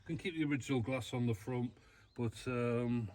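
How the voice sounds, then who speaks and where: A man's voice: mid-range voice, moderate pace, darkish tone. A man with a British accent explaining things in casual, matter-of-fact chat as a vlog co-host.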